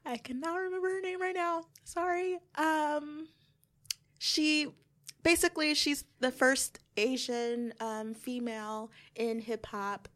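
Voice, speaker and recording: A woman's voice: sits high, fairly even intonation, slow, a bit bright. A young woman hosting a podcast, chatting casually with a lot of ums and encouraging replies. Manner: chatty, many ums, gives affirming backchannels. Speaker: podcast host.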